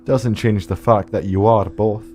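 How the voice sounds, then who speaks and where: A man plays a thoughtful survivor with a lowish, mellow voice in an apocalypse audio drama. He is plain-spoken and gently probing, with faint music behind him. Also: fairly even intonation, unhurried, natural voice. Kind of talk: low-key audio drama acting.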